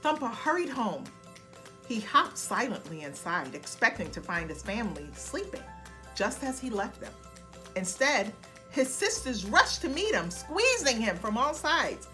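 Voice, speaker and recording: Woman, bedtime story narration, animated intonation, slow deliberate pacing, soft music underneath. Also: a little high. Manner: expressive, deliberate.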